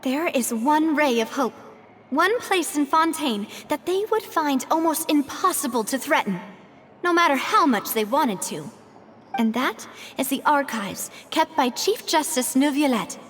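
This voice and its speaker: An actress playing a poised young woman leader in a video game, wry and determined, with a measured delivery. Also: sits high, expressive, a bit bright, in a large hall, a little hiss or hum.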